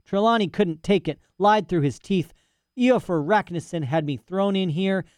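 Man doing an aggrieved, boastful old academic, pitched up a touch, rambling. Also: normal speed, darkish tone, no room sound, natural voice. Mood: indignant. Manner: boastful, ranting, rhetorical "eh?" asides. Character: old imprisoned male professor, indignant and rambling. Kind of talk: audiobook character voice.

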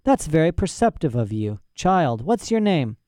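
A man's voice: a little high, sing-song, moderate pace, warm tone, no room sound, studio quality, voice pushed up. A man voicing a mad old professor in a storybook reading, cranky, boastful and pompous, querulously tossing in "eh". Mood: soothed, self-important. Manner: agitated, querulous, pompous, punctuating talk with "eh".

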